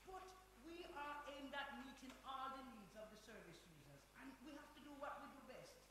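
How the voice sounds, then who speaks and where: An actress playing a firm, pragmatic community centre manager in a stage play, insistent and admonishing. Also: female voice, high-pitched, sing-song, brisk. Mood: defensive. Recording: echoey live hall, faint noise.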